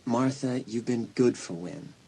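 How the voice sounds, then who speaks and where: An actor playing a concerned, reassuring school counselor in an audio drama, speaking earnestly and soothingly with some hesitation. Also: male voice, medium pitch, unhurried, vocal fry, mellow, a little hiss or hum.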